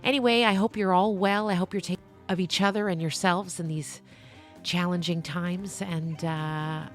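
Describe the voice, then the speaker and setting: Woman, lowish voice, podcast storyteller, expressive narration, faint music behind. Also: moderate pace.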